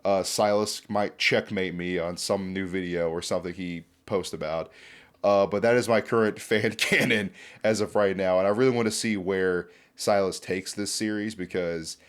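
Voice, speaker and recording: Male video host, lower register, conversational and keen, speculative casual commentary. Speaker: horror series commentator and host.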